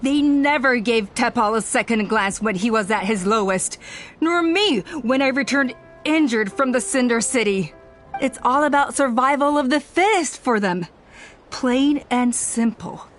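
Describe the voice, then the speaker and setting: An actress playing a proud, self-righteous clan elder woman in a video game, speaking in measured, formal, persuasive speeches. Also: a little high, lightly acted. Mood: scornful. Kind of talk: scripted video game voice acting. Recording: music under the voice.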